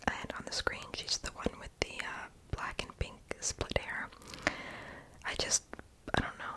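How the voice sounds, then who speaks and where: Whispering young adult doing ASMR voiceover. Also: poor audio. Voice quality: unvoiced breathy whisper. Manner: rambling, casual, self-deprecating; mouth sounds, lip smacking. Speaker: ASMR video creator.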